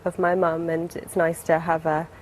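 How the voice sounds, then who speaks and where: A British woman in her twenties chatting casually as an interview guest, softening what she says as she goes. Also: lowish voice, monotone, moderate pace, a little hiss or hum. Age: 20s.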